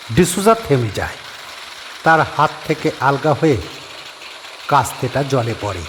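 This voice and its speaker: A man's voice: mid-range voice, noisy background. Man steadily narrating a horror story.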